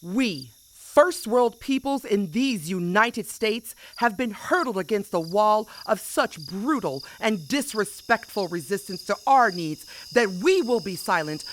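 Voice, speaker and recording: A woman's voice: medium pitch, animated, unhurried, few pauses, a little hiss or hum. A woman performer delivering declamatory spoken word.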